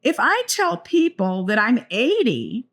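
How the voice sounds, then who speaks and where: A woman's voice: fairly high pitch, sing-song, measured pace, a bit bright. A woman in her seventies co-hosting a podcast, chatting casually and telling stories with playful asides. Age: 70s. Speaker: podcast co-host. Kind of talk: casual chat.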